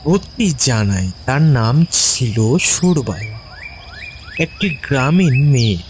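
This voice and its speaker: A man's voice: medium pitch, slight background noise. A man narrating a scripted ghost story for children as a storyteller.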